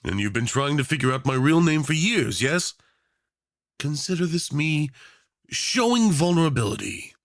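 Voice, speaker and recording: A man's voice: on the high side, very animated, moderate pace, dry recording, studio quality. A man playing a charming, obsessive tyrant in a romantic villain roleplay, drawling theatrically with mock politeness, flirtation and playful menace. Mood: coaxing, intimate.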